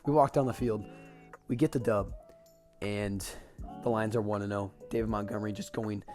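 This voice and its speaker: A young man with a raspy voice, getting over an illness, excitedly recapping a football game as a podcast host, with casual fillers. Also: on the low side, normal speed, soft background music. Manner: excited fan talk with fillers.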